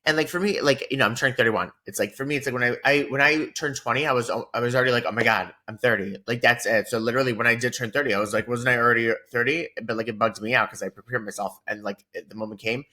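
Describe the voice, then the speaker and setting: A man's voice: mid-range voice, fairly even intonation, fast, few pauses, sharp tone. A man in his thirties co-hosting a podcast, rambling casually with lots of filler words. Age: 30s. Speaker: podcast co-host. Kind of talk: casual chat.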